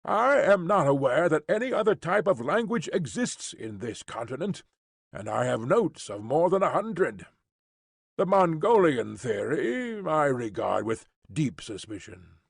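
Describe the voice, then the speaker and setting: A man voicing a pompous, self-assured male professor who talks down to others, in a husky voice. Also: on the high side, sing-song, a little slow, no room sound, clean studio sound, heavily acted. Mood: condescending. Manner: lofty, condescending.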